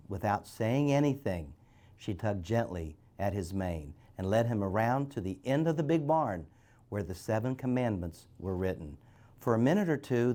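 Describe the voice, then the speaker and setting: Guy with a lowish voice reading a book out loud, measured phrases, trips on a word now and then. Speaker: guest reader. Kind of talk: reading a novel aloud.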